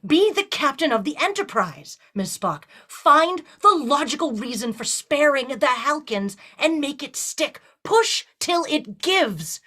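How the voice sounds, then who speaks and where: A bold female starship captain, acted by a woman in a sci-fi audio drama. Her voice is high and bright, swinging widely as she makes emphatic, persuasive points with theatrical flair. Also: big pitch swings, a little slow, few pauses, full character voice. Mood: impassioned. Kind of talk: fan audio drama acting. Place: remote virtual production.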